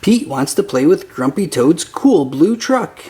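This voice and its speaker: An adult reading a picture book aloud in an expressive narration style meant for young children. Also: medium pitch, unhurried, a little hiss or hum. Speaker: children's storybook reader.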